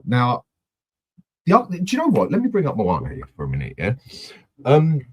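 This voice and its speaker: A man's voice: medium pitch, huge pitch swings, normal speed, darkish tone, breathy edge. A man hosting a casual football fan chat, blunt and swearing freely. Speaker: host of a football fan channel.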